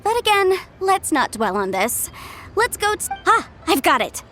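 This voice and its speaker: A woman's voice: extremely high pitch, big pitch swings, measured pace, bright, forceful tone. A young woman voicing a legal adviser character in a game cutscene, speaking in a composed, precise, businesslike way. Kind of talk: video game cutscene voice acting. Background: faint game music.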